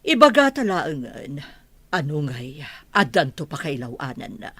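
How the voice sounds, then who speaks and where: A woman's voice: deep voice, sing-song, a little slow, fairly bright tone, slightly rough, no room sound, heavily acted. A woman playing a probing, advice-giving confidante in an Ilocano radio drama. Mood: probing, cautioning.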